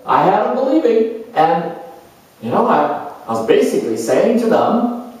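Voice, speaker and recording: Casting: man performing a reckless, boastful, never-grown-up adventurer, excitable and swooping in pitch, on stage-style monologue in a very reverberant room. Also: measured pace. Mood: boastful, breezy. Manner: excitable, brash, rambling and unapologetic. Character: exuberant, reckless, boastful free-spirited adventurer who never grows up. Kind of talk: solo dramatized monologue. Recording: echoey room, mic at a distance.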